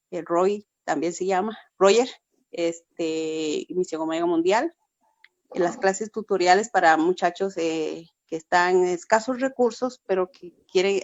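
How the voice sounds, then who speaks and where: A woman's voice: on the low side, a little mellow, dry recording, highs cut off. Earnest Peruvian-born woman pastor. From Peru. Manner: earnest, repetitive, frequent "verdad". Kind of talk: forum testimony.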